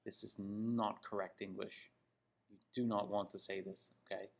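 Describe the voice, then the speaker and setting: A man with a Canadian accent and a fairly low voice teaches English. He is didactic and emphatic, stressing example sentences and often checking in with short tag questions. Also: little pitch movement, normal speed, creaky. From Toronto, Canada. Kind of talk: spoken English lesson critiquing example sentences.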